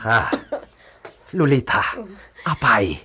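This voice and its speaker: A man playing a concerned, plain-spoken village manservant in a radio drama, husky and conversational. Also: medium pitch, sing-song, slow, pushed and bright, soft background music, full character voice.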